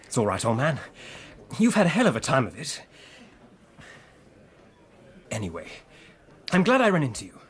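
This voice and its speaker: An actor playing an easygoing, chummy Victorian English medical student in a British radio drama. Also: male voice, mid-range voice, wildly swooping pitch, normal speed, long pauses, a bit bright, slightly rough, heavily acted. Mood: genial. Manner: chummy, breezy, old-fashioned upper-class phrasing.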